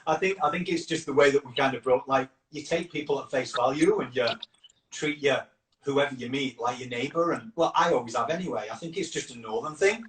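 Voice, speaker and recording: A man with a northern English accent softened by years in Australia, hosting a casual, chatty live conversation. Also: mid-range voice, a little fast. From Yorkshire, northern England. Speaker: campaign founder hosting a live chat. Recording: echoey room with clear background noise.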